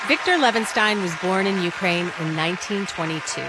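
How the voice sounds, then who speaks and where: A woman's voice: lowish voice, a little fast, a loud crowd, low-quality recording. Woman host reading over a music bed. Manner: steady, even reading. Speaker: radio host. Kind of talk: scripted narration.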